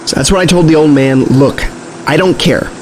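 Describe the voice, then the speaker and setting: An actor playing an earnest, jittery young failed musician, stammering and self-deprecating. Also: male voice, on the high side, normal speed, a little mellow, lightly acted. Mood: rueful, candid. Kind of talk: naturalistic audio drama dialogue. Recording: noisy vehicle interior with engine.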